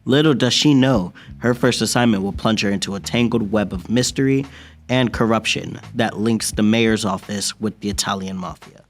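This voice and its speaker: A man's voice: lowish voice, moderate pace. A young man giving a dramatic scripted narration for an amateur radio mystery. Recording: music under the voice.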